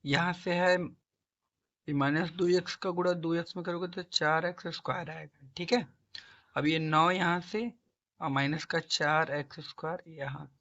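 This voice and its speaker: A hoarse man with a sore throat teaching a math lesson, coaxing students along and asking and answering his own questions. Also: fairly high pitch, sharp tone, dull audio. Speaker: math tutor. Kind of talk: classroom-style math lesson.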